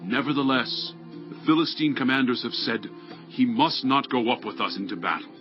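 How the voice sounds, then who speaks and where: A man's voice: mid-range voice, normal speed, lightly acted. An actor playing an earnest, diplomatic ancient ruler speaking up for his servant in a scripture drama. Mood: regretful, firm. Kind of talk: dramatized scripture audio acting. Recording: dull lo-fi audio, faint music.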